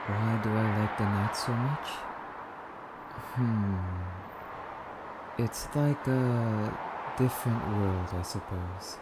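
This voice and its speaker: A man's voice: fairly low pitch, takes it slowly, very noisy. A man playing a courtly, wistful male vampire in an ASMR roleplay, speaking in a musing, slightly archaic, haughty yet polite manner. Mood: dreamy, musing. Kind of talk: ASMR roleplay monologue addressed to listener.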